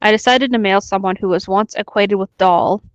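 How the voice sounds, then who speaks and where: Woman reading creepypasta on a podcast, mid pitch, dry close sound, chatty. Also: fairly even intonation, normal speed, no room sound, muffled recording. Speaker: podcast co-host. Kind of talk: casual read-aloud of internet horror stories with banter.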